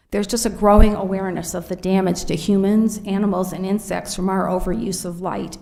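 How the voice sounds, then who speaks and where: Woman with a gravelly, mellow voice. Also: medium pitch. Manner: questioning, measured and critical. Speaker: resident giving public comment. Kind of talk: prepared public comment. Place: city council chambers.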